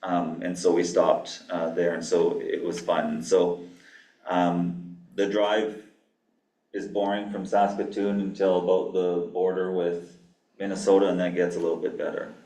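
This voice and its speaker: A man from Canada giving an academic lecture as a guest pharmacy professor, with a flat monotone delivery, dry asides and many ums. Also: sits low, a little slow. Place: lecture hall. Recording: echoey hall, mic far off.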